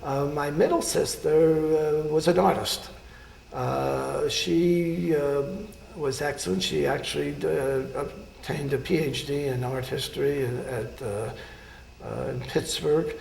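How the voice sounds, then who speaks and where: Older man with a gravelly voice. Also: on the high side, fairly even intonation, darkish tone, reverberant, slight background noise, rough recording. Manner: halting, many pauses and fillers. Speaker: surgeon interviewee. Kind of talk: oral history interview.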